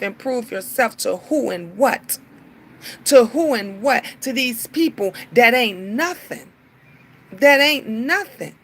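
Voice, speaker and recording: A woman, hosting her own livestream. She speaks in a fairly high voice and presses each point by repeating it. Her talk stretches out with long filler sounds between bursts of emphasis. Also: a bit bright, slight background noise. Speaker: livestream host. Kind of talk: casual livestream rant.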